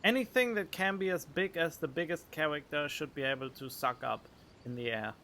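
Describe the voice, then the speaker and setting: A man's voice: on the high side, a little fast, bright, forceful tone, a little hiss or hum. A man doing casual, joking let's play commentary and reading dialogue choices aloud. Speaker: gaming streamer. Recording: faint game music behind.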